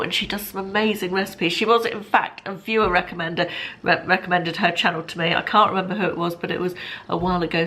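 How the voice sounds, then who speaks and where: A British woman in her fifties vlogging casually to camera, chatty and rambling, sounding slightly bunged up, in a bright living room. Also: mid-range voice. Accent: British English. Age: 50s. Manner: chatty, friendly, rambling with fillers and self-corrections. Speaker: lifestyle vlogger.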